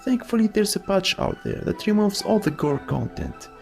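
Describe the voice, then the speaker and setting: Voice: mid-range voice, expressive, moderate pace, vocal fry, darkish tone, faint music behind. A narrator reading a scripted voice-over commentary with opinionated, wry asides. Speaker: video essay narrator.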